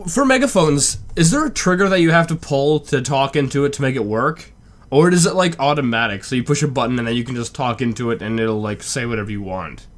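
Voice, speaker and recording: A man's voice: medium pitch, animated, fast. A man hosting a reaction video, chatting casually in a rambling way with lots of "like". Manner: rambling, with frequent "like".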